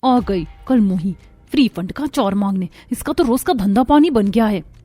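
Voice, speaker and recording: A woman's voice: mid-range voice, animated, normal speed, warm tone, close to an everyday voice. A woman voicing a put-upon young daughter-in-law in a cartoon, complaining in sarcastic, exasperated asides. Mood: angry. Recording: music bed under the voice.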